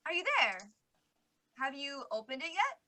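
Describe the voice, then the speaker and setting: An excitable newsroom assistant, acted by a woman in a comic radio play, speaks in a bright, high voice. Her pitch swings widely as she relays urgent news from backstage. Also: normal speed, heavily acted. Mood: flustered.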